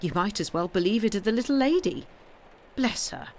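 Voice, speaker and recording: A woman's voice: medium pitch, animated, brisk, a little mellow, lightly acted. A woman voicing a simple, loyal servant woman in a storybook reading, plain-spoken, deferential and full of feeling. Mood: earnest, assuring. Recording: clearly noisy background.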